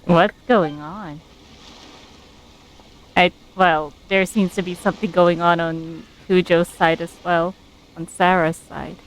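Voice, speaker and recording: A woman's voice: lowish voice, steady tone, takes it slowly, slight background noise, rough recording. A woman streaming a game, giving casual, reactive commentary with a questioning manner.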